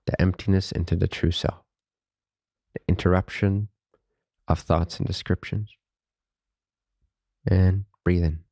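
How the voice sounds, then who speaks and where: A man leading a guided meditation as a teacher, speaking softly, slowly and calmly in reverent phrases with long pauses. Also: sits low, creaky, slightly rough, no room sound. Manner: calm, unhurried, reverent spiritual phrasing.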